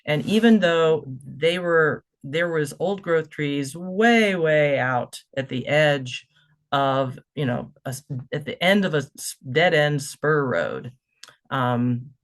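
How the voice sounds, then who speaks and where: A man presenting in an online talk, halting and self-correcting as he explains a photo. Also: a little high, expressive, fairly bright tone. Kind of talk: webinar presentation.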